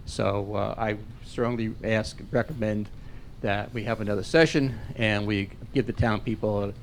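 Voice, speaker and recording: A man speaking at public comment in a town council meeting, earnest and measured, with many fillers. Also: on the low side, fairly even intonation, a little hiss or hum. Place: council chamber.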